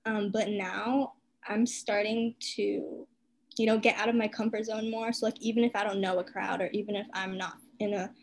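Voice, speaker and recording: A woman's voice: mid-range voice, level tone, moderate pace, gravelly. A young woman in casual conversation, talkative and loose. Her speech is full of fillers and she shares freely. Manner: talkative, many fillers like "like" and "you know". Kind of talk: casual chat.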